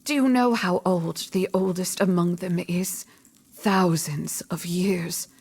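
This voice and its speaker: A solemn female mystic mentor from a fantasy video game. Her voice is fairly low and breathy, and she speaks in a measured, cryptic way, as one who knows what lies ahead. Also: a little mellow, full character voice. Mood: solemn, instructive. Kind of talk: video game cutscene acting.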